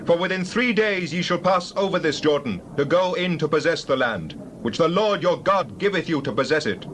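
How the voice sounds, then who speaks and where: An actor playing an earnest male Israelite leader, giving deliberate, declamatory orders in a dramatized Bible. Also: a little high, expressive, fairly bright tone, slight background noise. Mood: commanding, earnest.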